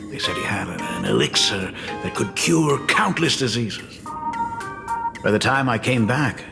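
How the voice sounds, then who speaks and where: A man's voice: fairly low pitch, lively intonation, unhurried, vocal fry, heavily acted. A middle-aged actor playing a polite, hesitant male school dean and father in a video game. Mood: measured. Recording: reverberant game audio with music.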